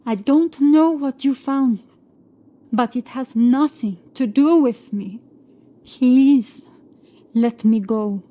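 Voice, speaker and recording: A woman's voice: high-pitched, takes it slowly, dark tone. A woman playing a frightened medieval prioress accused of witchcraft, pleading her innocence in a horror audio drama. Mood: frightened, urgent.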